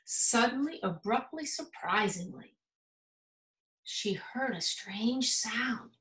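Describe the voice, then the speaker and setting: A woman with an American accent reads a children's storybook aloud. Her voice is bright and a little high, and she tells the story with animated emphasis. The room adds a clear echo around her. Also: slow. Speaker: school principal reading aloud to children. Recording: roomy, echoing sound.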